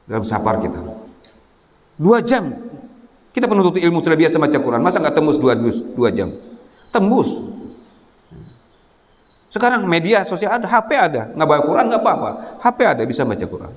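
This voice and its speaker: A man's voice: on the high side, big pitch swings, brisk, long pauses. A man giving a religious exhortation to students, admonishing and repeating his points, often checking in with listeners. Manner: repetitive, admonishing, frequent "ya" check-ins to listeners. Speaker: Islamic boarding school teacher and preacher. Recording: long hall reverb.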